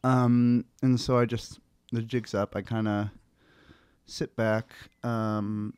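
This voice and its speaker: A man's voice: fairly low pitch, little pitch movement, takes it slowly, a little mellow, smooth, dry recording. A man playing a tabletop game, talking casually and hesitantly as he thinks aloud about what his character does.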